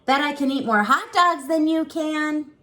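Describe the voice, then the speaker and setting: Storybook big brother, young, boastful and taunting, high excitable delivery, played by a woman reader. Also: a little slow. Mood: excited, teasing. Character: cocky teasing older brother, a boastful young boy. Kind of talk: storybook character voice.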